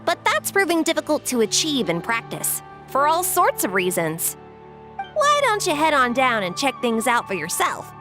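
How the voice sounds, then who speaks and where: Casting: chipper young female game character, bright tone, animated delivery, light music underneath. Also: sits high, normal speed, exaggerated voice. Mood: explanatory. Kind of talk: video game character dialogue.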